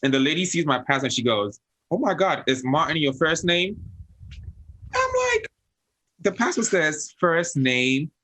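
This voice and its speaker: A man's voice: a little high, a little slow, rough recording. A young man hosting a casual panel chat, telling stories with lots of laughter and mimicking other people. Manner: animated storyteller, laughs often, quotes others in a raised voice. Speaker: host.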